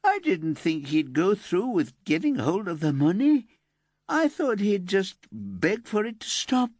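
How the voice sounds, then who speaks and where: A man's voice: high-pitched, wildly swooping pitch, unhurried, darkish tone, no room sound, voice pushed up, heavily acted. A man voicing a resentful teenage girl who whines and turns tearful. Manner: whiny and indignant, rising to wailing.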